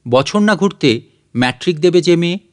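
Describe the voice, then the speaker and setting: A man's voice: on the high side, lively intonation, normal speed. A man reading a literary short story as scripted audiobook narration.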